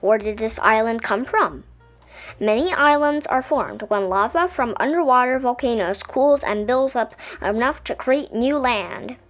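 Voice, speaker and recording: A woman reading a children's science picture book aloud in an expressive, explanatory storytelling way. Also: a little high, measured pace, soft background music.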